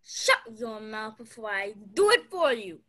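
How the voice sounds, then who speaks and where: A woman's voice: fairly high pitch, very animated, measured pace, a bit bright. A young woman acting in a radio play as a jealous, menacing wronged wife, dramatic and regal. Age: young adult. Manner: dramatic, threatening.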